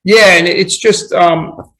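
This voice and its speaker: A man's voice: sits high, fairly even intonation, slow pace, a bit bright. A man guesting on a podcast, talking earnestly and reflectively in casual conversation, often restarting his sentences. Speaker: podcast guest, running coach.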